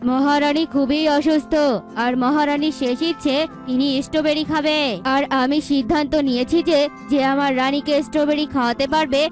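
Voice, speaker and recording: A woman's voice: sits high, steady tone, brisk, faint music behind, lightly acted. A woman voicing a regal eagle king in a cartoon, commanding and devoted. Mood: solemn commanding.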